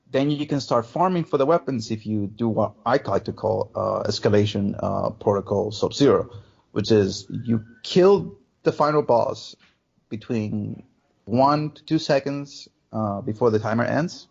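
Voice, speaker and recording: A man co-hosting a casual gaming podcast, telling animated stories full of swearing. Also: lowish voice, creaky, husky. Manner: animated storyteller, swears a lot, acts out friends' lines. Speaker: podcast co-host. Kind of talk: casual chat about video games. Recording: dull lo-fi podcast audio.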